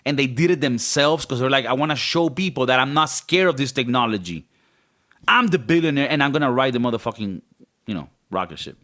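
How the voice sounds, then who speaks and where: A man with a raspy voice talking as a podcast co-host in a rambling, joking, casually profane way. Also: medium pitch, animated, a little fast, fairly bright tone.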